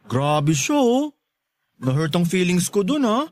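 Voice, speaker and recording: Cheeky, swooping high-voiced young loafer played by a man. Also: sing-song, unhurried. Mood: playfully pleading. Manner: teasing, wheedling, boastful. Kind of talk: Tagalog radio drama acting.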